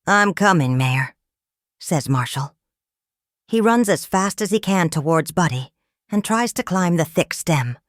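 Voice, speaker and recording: A woman reading a children's story aloud in an expressive storytelling manner. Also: lowish voice, measured pace, dry recording, clean studio sound. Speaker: storybook reader. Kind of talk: scripted read-aloud narration of a children's story.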